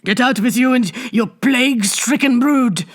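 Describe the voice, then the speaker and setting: A woman putting on a gruff, blustering guardsman. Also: fairly high pitch, unhurried, pitched above the performer's own voice. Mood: angry. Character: boastful, gruff male French revolutionary gate guard.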